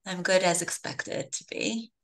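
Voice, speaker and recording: A woman hosting a talk session as an elder law lawyer, friendly and brisk, steering the conversation. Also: fairly low pitch, fairly even intonation, fairly bright tone, poor audio. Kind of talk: webinar interview hosting.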